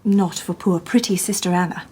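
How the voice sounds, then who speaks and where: Casting: a composed Victorian lady sleuth, husky, mellow, dry wit. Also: fairly low pitch, moderate pace. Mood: calm. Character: shrewd, composed Victorian woman detective. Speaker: lady detective narrating and speaking. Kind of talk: radio drama narration and dialogue.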